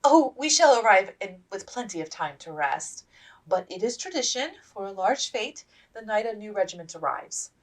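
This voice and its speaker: A woman voicing a gentle, placating young officer's wife in a storybook. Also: medium pitch, very animated, normal speed, recorded from a distance, voice pushed up, rough recording. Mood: eager reassurance.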